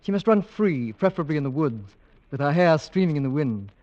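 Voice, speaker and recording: Radio play: man playing young English husband, genial, fond, lighter pitch, dull vintage sound. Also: expressive, a little fast, natural voice. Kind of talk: 1940s radio drama acting. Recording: muffled old radio recording.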